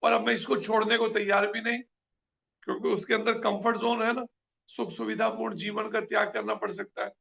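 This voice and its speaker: Male preacher, raspy fry-heavy voice, pitched up, earnest and questioning. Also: little pitch movement, brisk, a bit bright. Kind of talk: scripture class.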